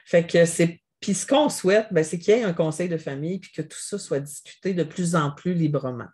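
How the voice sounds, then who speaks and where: A woman with a Québécois accent, a family business mediator explaining as an interview guest in a measured, reflective way. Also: on the low side, a little mellow, husky. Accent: Québécois French. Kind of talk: interview answers.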